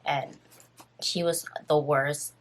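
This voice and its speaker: A woman in her twenties with a raspy voice, casually telling a story on her vlog while doing her makeup, rambling and full of fillers. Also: sits low, fairly even intonation, takes it slowly. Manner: rambling, filler-heavy and nervous. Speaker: vlogger.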